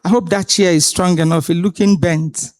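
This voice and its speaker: Female panel moderator, measured and authoritative, at a conference. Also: lowish voice, fairly even intonation, darkish tone. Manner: deliberate, authoritative delivery with pauses. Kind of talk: introducing panelists at a live conference. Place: conference hall stage.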